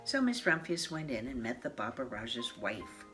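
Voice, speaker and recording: A woman of mature years reading a children's picture book aloud in a measured, expressive way. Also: rumbling low. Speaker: storybook reader. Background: classical piano music.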